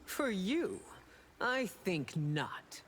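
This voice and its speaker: An actress playing a regal, stern warrior empress and mother in a video game, formal and measured. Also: lowish voice, sing-song, slight background noise, exaggerated voice. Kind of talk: video game character dialogue. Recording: loud music behind the dialogue. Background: music.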